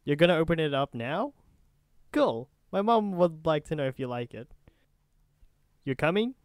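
Man acting a smooth, teasing young guy. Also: fairly high pitch, sing-song, normal speed, no echo, studio quality. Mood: friendly. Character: nosy, teasing young male friend, smug and easygoing. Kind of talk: ASMR roleplay acting.

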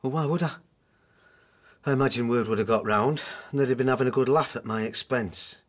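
An actor in a radio drama playing an evasive, restless husband pleading his case, with a husky voice. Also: medium pitch, a little fast, close to an everyday voice. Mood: wary.

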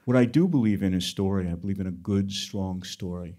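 A man from the United States gives film students earnest, emphatic advice. His voice is somewhat low and mellow. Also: a little fast.

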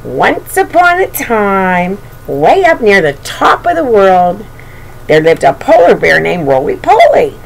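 A woman's voice: mid-range voice, huge pitch swings, measured pace, creaky, a little hiss or hum, rough recording. An older woman reading a picture book aloud to young children, with chatty, playful, singsong asides. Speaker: children's storybook reader and show host. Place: bedroom at home.

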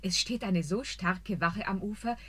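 A woman's voice: medium pitch, unhurried, fairly bright tone, slightly rough. A gracious fairy-tale queen. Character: gracious young queen, proud but kind. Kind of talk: radio play acting.

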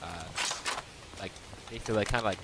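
A man with a blocked, stuffy nose talks casually over his gameplay in a somewhat low voice. He rambles and swears at the game from time to time. Heavy rain from the game pours loudly behind him. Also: fast talker, very noisy. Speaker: gaming channel host.

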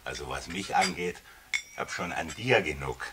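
A man's voice: very deep voice, lively intonation, normal speed. A man playing a teasing, blustery factory director and father in a 1960s German radio play. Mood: exasperated. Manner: blustery, good-humoured, teasing. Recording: distant, faintly noisy old vinyl record.

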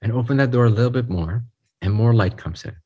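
A man leading a guided group meditation, speaking in a soothing, unhurried way in short phrases with pauses. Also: medium pitch, darkish tone, breathy edge, highs cut off.

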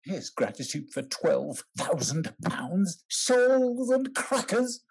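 A man's voice: high-pitched, very animated, slow, creaky, heavily acted. Older man playing a hesitant, humble petitioner. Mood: skeptical, polite. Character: humble elderly petitioner, quietly indignant, politely skeptical. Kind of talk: theatrical period comedy acting. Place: dim room at home on a video call.

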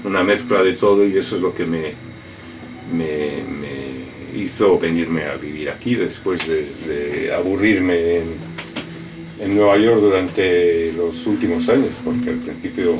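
Slow, deep-voiced middle-aged man, halting. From raised in France. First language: French. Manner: halting, many restarts and fillers. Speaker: artist. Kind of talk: interview answers. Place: cluttered studio with computer and speakers. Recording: distant mic, audible room noise.

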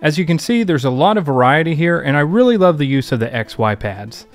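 A man's voice: a little high, sing-song, fairly quick, faint music behind. A man narrating a casual review as the host, in an informal, conversational way. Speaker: host of a synth app review show.

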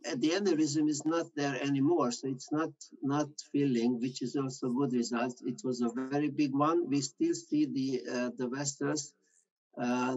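A man giving an unrehearsed medical slide presentation, improvising his commentary in a halting way. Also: medium pitch, a little mellow. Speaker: conference presenter. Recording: dull, muffled lo-fi audio.

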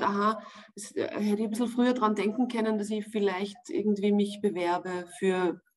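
A woman in her forties with an Austrian German accent, answering interview questions at home. She speaks in a measured, reflective way, often pausing with fillers as she weighs her words. The sound is from a webcam on a video call. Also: medium pitch, darkish tone. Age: 40s. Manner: thoughtful, searching for words, frequent fillers. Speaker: actress being interviewed. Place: home room with wood panelling, indoors.

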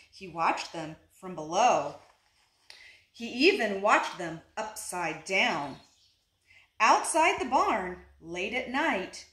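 Bright-voiced woman reading a storybook slowly. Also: medium pitch. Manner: deliberate, animated, puts questions to young listeners. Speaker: storyteller reading picture books to children. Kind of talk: expressive read-aloud of a children's storybook. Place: living room indoors, seated on a couch. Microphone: camera a short distance away. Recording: roomy indoor echo.

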